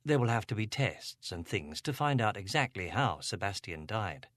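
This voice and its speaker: A man voicing a careful male detective from a storybook, gentle and unhurried with a troubled teenager. The voice sits a bit lower than the narration, soft and measured, on a dry recording. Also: big pitch swings, no echo. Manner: slow, careful, soothing.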